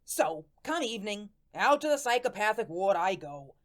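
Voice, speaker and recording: A woman's voice: medium pitch, sing-song, moderate pace, close to an everyday voice. A woman playing the earnest, hapless ghost of a murdered tough guy in a radio drama, talking in folksy slang. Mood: chatty, rueful. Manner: folksy slangy speech with dropped grammar and rhetorical questions. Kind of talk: radio drama first-person monologue.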